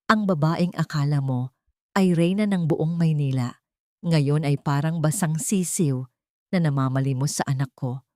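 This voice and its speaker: Woman doing a rich older mother-in-law, low voice, snooty n sarcastic, bossy, audio drama, dry sound. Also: a little slow, no echo. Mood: sarcastic contempt. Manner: sarcastic, sharp, commanding.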